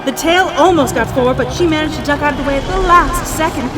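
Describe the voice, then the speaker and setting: Gleeful high-voiced actress as an arena announcer. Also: female voice, fast talker, lightly acted. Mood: gleeful hype. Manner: showy, gleeful, theatrical. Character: gleeful, bloodthirsty female arena announcer, showy host of death games. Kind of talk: excited fight commentary. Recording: loud music and crowd behind.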